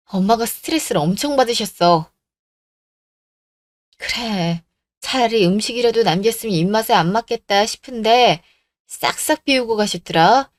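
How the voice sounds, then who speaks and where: A woman acts out an indignant young fiancée in a radio drama. She is blunt and scolding, with a fairly high voice in a dry recording. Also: no echo, close to an everyday voice. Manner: blunt, scolding, rhetorical questions. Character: indignant, firm young woman breaking off her engagement.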